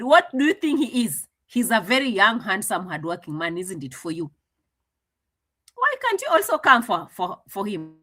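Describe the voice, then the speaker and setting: Chatty woman commentator, bright voice. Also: high voice. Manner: chatty, translating and mocking, laughs often. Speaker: commentator reacting to a clip. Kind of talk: casual commentary.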